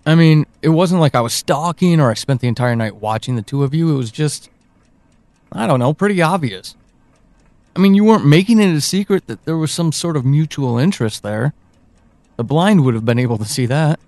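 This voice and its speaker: A man playing an evasive, uneasy friend in an audio drama, speaking in a halting, confessional way. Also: on the high side, animated, darkish tone, dry recording. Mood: wry recounting. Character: evasive male friend, uneasy, withholding a troubling memory.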